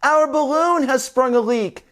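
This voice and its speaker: A woman's voice: high-pitched, measured pace, a bit bright, pitched above the performer's own voice. A woman voicing an earnest, adventurous young male balloon pilot in a storybook, confident and polite. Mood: kind.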